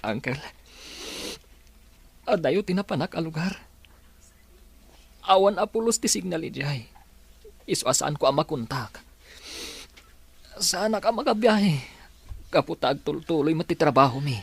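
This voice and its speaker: Sawing binatang Ilocano sa dramang radyo. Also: male voice, fairly high pitch, lively intonation, measured pace, gravelly, pauses often, slightly rough, no room sound, heavily acted. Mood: explaining. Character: heartbroken young man back from working far away. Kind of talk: radio drama acting.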